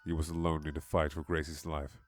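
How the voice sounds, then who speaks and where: A man's voice: a bass voice, completely flat delivery, fairly quick. A man giving a dramatic scripted narration of a horror story. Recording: music behind the voice.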